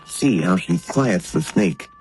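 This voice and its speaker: A man playing a suave, wealthy gentleman in a 1930s radio drama, speaking in a smooth, assured way. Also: deep voice, animated, slow pace, low-quality recording.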